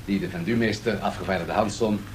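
A man's voice: sits low, fairly quick, close to an everyday voice. A male actor playing a courteous radio host, politely and sociably making introductions in naturalistic dialogue. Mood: courteous, welcoming. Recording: faintly noisy 1970s radio studio tape.

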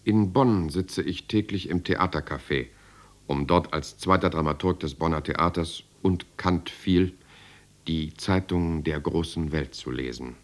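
An actor playing an idealistic young playwright in a German radio drama, speaking in earnest, rhetorical, deliberate phrases. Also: male voice, rumbling low. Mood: reflective. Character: idealistic young male playwright and former medical student.

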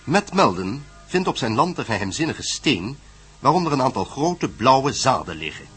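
Lively male narrator over music. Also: medium pitch, moderate pace, breathy edge. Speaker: radio play narrator. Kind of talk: scripted recap narration.

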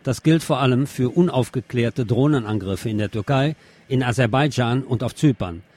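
A man's voice: medium pitch, normal speed, a little mellow. A man presenting scripted news commentary as a radio presenter, with pointed, sardonic phrasing.

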